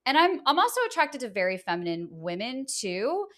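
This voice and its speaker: Animated, frank woman from Georgia. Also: fairly high pitch, normal speed. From Atlanta, Georgia, US. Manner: animated, expressive, frank and laughing. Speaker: guest, producer. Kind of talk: candid podcast conversation.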